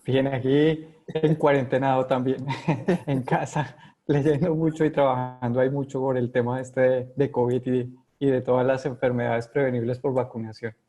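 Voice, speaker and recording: Colombian man, flat dark voice. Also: mid-range voice, normal speed. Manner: hesitant, many fillers and restarts. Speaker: guest epidemiologist. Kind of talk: interview answers. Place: home.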